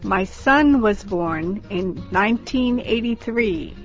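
Slow careful drill voice from a woman, muffled tape, faint music. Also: mid-range voice, lively intonation. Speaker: model speaker in a language drill. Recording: dull lo-fi audio with faint music.

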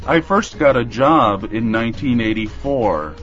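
Man reading drill lines very slowly, clear careful speech, lo-fi, faint music. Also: mid-range voice, lively intonation, fairly bright tone. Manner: slow, carefully enunciated. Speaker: model speaker in a language drill. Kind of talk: slow drill repetition. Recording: dull lo-fi audio with faint music.

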